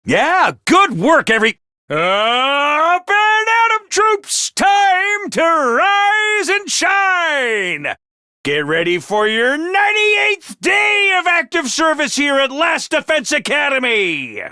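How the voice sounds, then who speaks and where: A hyper, gleeful game mascot who commands troops, his very high voice swooping wildly up and down. He drags out his words slowly and theatrically, with a sharp, tense tone. The studio sound is dry and close. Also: sing-song, no room sound, exaggerated voice. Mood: rousing cheer. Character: manic, mischievous small male academy mascot commander. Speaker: game character voice lines. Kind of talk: game voice acting.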